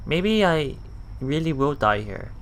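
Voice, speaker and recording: A man reading a visual novel aloud as a let's play host, halting and self-correcting, with muttered casual asides. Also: on the high side, a bit bright, slight background noise.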